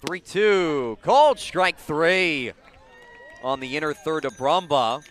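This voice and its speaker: A man's voice: fairly high pitch, animated, takes it slowly, a bit bright. A man doing live radio baseball play-by-play as the announcer, outdoors at a ballpark. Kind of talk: live radio sports commentary.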